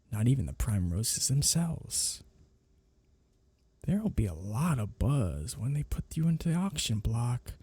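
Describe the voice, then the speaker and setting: A man playing a smug, predatory male vampire in an intimate ASMR roleplay, teasing and taunting his captive with a husky, breathy delivery. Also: mid-range voice, mellow, no room sound, heavily acted. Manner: teasing, taunting, lingering drawl on words.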